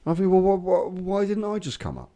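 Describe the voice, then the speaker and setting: An English man co-hosting a casual podcast, swearing freely and telling lively stories while getting over a cold. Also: high-pitched, brisk. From England. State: recovering from a cold. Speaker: podcast co-host. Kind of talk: casual chat.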